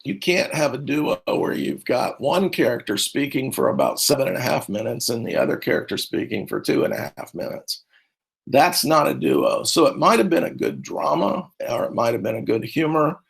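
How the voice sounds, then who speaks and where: A man's voice: mid-range voice, wildly swooping pitch, normal speed, gravelly, breathy edge. A middle-aged man, a speech and debate coach, giving a rambling conference talk full of fillers and restarts.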